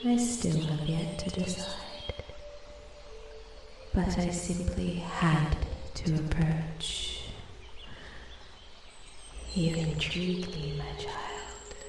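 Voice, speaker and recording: A woman voicing an ancient female forest spirit in soft-spoken ASMR roleplay, breathy and often whispering, formal, intrigued and quietly menacing. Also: deep voice, exaggerated voice. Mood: intrigued, hushed. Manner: slow, deliberate, archly formal. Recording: echoey, with steady ambient forest noise. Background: forest ambience, birds and animal sounds.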